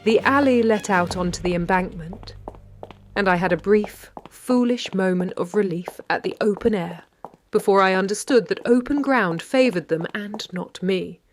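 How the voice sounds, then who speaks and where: An actress playing a wry, brave 1930s London lady detective, narrating in a measured, literary British voice. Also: medium pitch, faint music behind, lightly acted. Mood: tense, wry. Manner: measured, literary, dryly understated. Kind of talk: scripted first-person audio drama narration.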